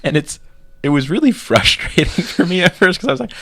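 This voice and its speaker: A man co-hosting a casual gaming podcast, telling his gaming stories in an animated way and acting out his own reactions. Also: sits high, brisk, vocal fry, no room sound. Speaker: podcast co-host.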